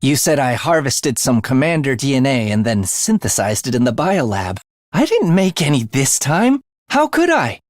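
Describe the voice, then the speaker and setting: Man acting a wildly expressive, morbid young assassin. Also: medium pitch, moderate pace, dry recording, studio quality, natural voice. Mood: curious, puzzled. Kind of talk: English video game voice acting.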